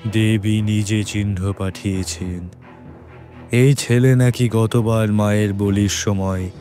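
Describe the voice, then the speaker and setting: A fanatical temple priest in a horror audio story, with a low voice and very slow, solemn pacing. Music plays prominently behind his grave pronouncements. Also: mellow.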